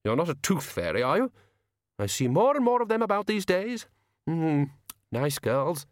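A man's voice: a little high, big pitch swings, moderate pace, no echo, studio quality, pitched above the performer's own voice. A man voicing a vain, fussy, icy male frost spirit in an audiobook, haughty and defensive. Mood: icy, curious. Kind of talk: audiobook character reading.

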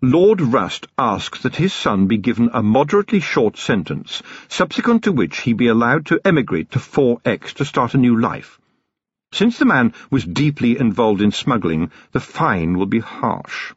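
A man's voice: medium pitch, sing-song, moderate pace, slightly rough, no echo, highs cut off, voice pushed up. A man voicing a cold, ironic middle-aged city tyrant who speaks in a dry, precise, sardonic way.

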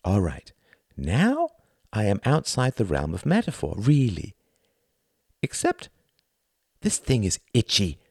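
A man voicing a flamboyant, theatrical thin stage performer in a grandiose, excitable manner. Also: on the low side, slow, long pauses, slightly rough, dry recording, studio quality, voice pushed up, full character voice. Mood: smug.

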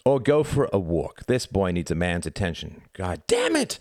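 Man playing a stern, deep-voiced disciplinarian. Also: animated, mellow, no room sound, clean studio sound. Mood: angry. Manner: firm, measured, authoritative. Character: stern, grimly controlled adult man disciplining children.

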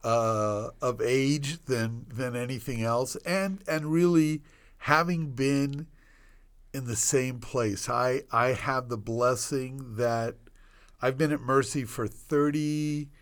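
A man's voice: medium pitch, steady tone, slow pace, clean tone. A man in his sixties from Northern Virginia, a doctor telling his life story as a guest in a casual interview, rambling with many restarts and self-deprecating humor. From Northern Virginia, United States. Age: 60s. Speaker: guest, obstetrician.

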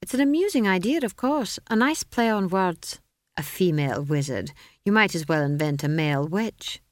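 A woman voicing a pompous, genially condescending old male wizard in an audiobook story, with airy, unhurried, patronising speech. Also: on the low side, expressive, no echo, studio quality, lightly acted. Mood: condescending amusement.

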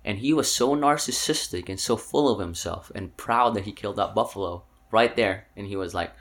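A man with a fairly low voice chats casually as a podcast co-host. He tells trivia and stories at an easy pace, now and then breaking into laughter. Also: brisk. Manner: storytelling, animated bursts of laughter. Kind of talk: casual chat.

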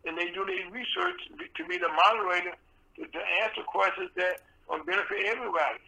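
A man hosting a radio talk show with a soft voice, rambling and full of fillers and restarts. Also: high voice, very animated, quick pace, gravelly, rough recording.

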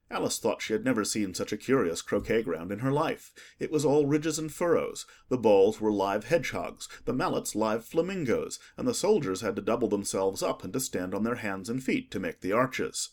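A man narrates a classic storybook expressively. His voice is on the low side and husky, with very lively movement in pitch. The delivery is scripted audiobook reading. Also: a little fast.

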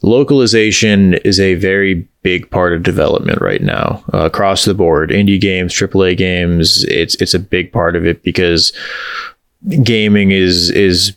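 A man hosts a casual gaming interview in a low-pitched, smooth voice. He talks in long, winding questions, and the sound is close and dry. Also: moderate pace, a bit bright, no echo. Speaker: interviewer, podcast host. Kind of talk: conversational video game interview.

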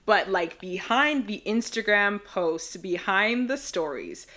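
A woman's voice: mid-range voice, expressive, takes it slowly, pushed and bright. A young woman from the Bahamas, co-hosting a casual podcast chat, rambling and leaning on "like" a lot. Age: young adult. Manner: chatty, rambling, heavy use of "like". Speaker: podcast co-host.

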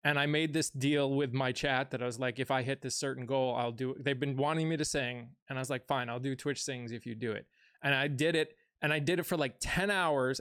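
A woman's voice: extremely low pitch, no echo, studio quality. A woman co-hosting a podcast in casual chat, effusive and rambling, with many restarts. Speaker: podcast co-host and streamer.

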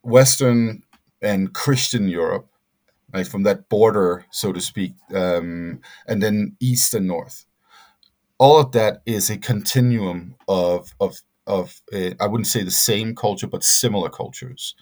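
A middle-aged man answering interview questions as a scholar, speaking haltingly with many fillers and restarts. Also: lowish voice, a little mellow. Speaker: guest scholar.

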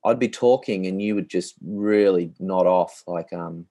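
A man in his thirties with an Australian accent, chatting on a podcast in a slightly low, monotonous, understated voice. His delivery stays flat and unhurried, easygoing and dry. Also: no room sound. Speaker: podcast co-host, musician. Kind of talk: casual chat.

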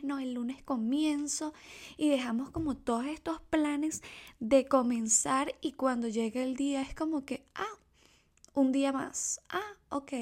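A young woman from Ecuador talks through her solo podcast with a slightly high voice. She is chatty and confiding, and her talk is full of asides and fillers. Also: a little mellow. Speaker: podcast host.

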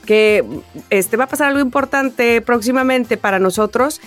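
A woman's voice: a little high, normal speed, faint music behind. A woman co-hosting a podcast, chatting in a casual, joking and informal manner. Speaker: podcast co-host. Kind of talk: casual chat.

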